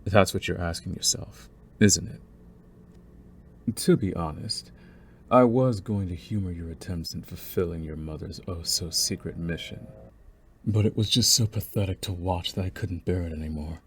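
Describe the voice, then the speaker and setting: A man playing a young mafia heir in an intimate audio roleplay, smooth-spoken and deliberate, with a cunning, condescending streak beneath his courtesy. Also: deep voice, heavily acted. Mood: mocking, condescending. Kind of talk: intimate scripted audio roleplay, ASMR acting.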